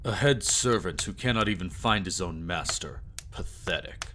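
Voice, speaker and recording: A man's voice: deep voice, expressive, moderate pace, fairly bright tone, a little hiss or hum. A man playing a cold, contemptuous vampire lord, speaking formally and with disdain in an audio drama. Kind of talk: dramatic audio roleplay acting.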